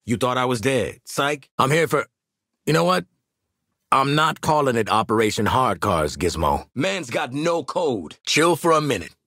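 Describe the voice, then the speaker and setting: An actor playing a cocky, world-weary assassin in a video game, with a raspy American voice and a brash, streetwise, wisecracking manner. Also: male voice, mid-range voice, sing-song, normal speed, sharp tone, no room sound, clean studio sound, exaggerated voice. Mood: cocky, wry.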